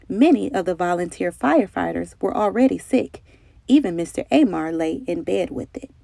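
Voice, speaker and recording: A woman narrates a children's storybook with expressive, emphatic phrasing. Her voice sits at a medium pitch with some gravelly fry, recorded close and dry. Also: dry recording. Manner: dramatic, carefully phrased storytelling with emphatic delivery. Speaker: storybook reader.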